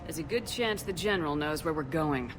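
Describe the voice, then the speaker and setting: A watchful soldier in command acted by a man, fairly high-pitched for a male voice. He is wary and questioning in a video game drama, under very loud music. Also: lively intonation, fairly quick, close to an everyday voice.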